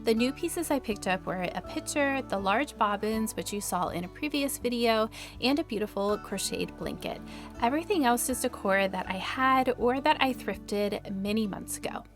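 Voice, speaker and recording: A woman who sounds a little raspy from allergies, giving upbeat, friendly, scripted voice-over narration as a home decor vlogger. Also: a little high, lively intonation, moderate pace, soft background music.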